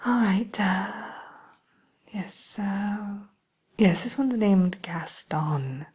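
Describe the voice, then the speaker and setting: A woman softly whispering an ASMR roleplay as a polite, hesitant matchmaker who soothes and defers to her client. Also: medium pitch, lively intonation, extremely slow, heavily acted. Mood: hushed, attentive. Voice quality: breathy, mostly whispered. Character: polite, accommodating female matchmaker at a dating service for villains.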